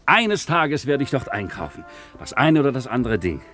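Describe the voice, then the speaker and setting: An actor playing a young working-class craftsman in love, excitable and hopeful, laughing through his lines in a 1950s German radio drama. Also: medium pitch, moderate pace. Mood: excited. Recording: 1950s radio recording, music behind.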